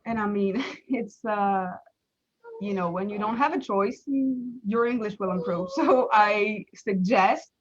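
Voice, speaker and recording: Quebec french woman on a video call, slow and measured, audio kinda dull. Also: mid-range voice, a little hiss or hum. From Quebec, Canada. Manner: slow, measured, laughs lightly. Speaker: guest. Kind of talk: casual live interview. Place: home room.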